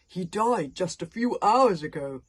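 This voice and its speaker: A woman voicing a burly, awkward Viking chieftain father from a storybook in a booming, declamatory way. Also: animated, measured pace, breathy edge. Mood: awkward, sombre. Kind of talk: storybook character voice.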